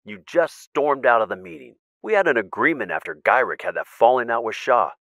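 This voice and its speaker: An actor playing a cautious, principled weapon inventor in an audio drama, measured and technical, emphatic when he objects. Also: mid-range voice, expressive, fairly bright tone, no room sound, studio quality. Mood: worried, frustrated.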